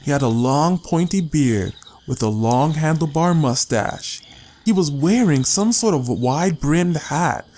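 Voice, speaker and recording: A man's voice: fairly high pitch, animated, measured pace, a little mellow, a little hiss or hum. A man narrating a story as a scripted, dramatic audiobook reading.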